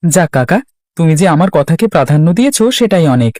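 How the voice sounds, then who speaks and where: An actor voicing a calm, practical younger villager in a cartoon, measured and philosophical. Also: medium pitch, dry recording, studio quality, lightly acted. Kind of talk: Bengali cartoon dialogue.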